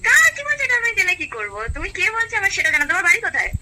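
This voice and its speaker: A young woman in a casual phone chat, animated and full of questions. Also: very high voice, very fast, heavy background noise. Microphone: other end of a phone call.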